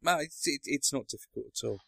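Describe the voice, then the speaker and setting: A man's voice: mid-range voice, animated, fast talker, vocal fry, sharp tone, husky, dry recording. A man hosting a casual podcast chat, talking hesitantly with many ums and restarts. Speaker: podcast host.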